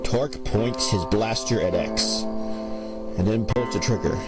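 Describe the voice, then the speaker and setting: A man's voice: lowish voice, measured pace. A man narrating a scripted superhero audio drama in a dramatic storytelling style, over music.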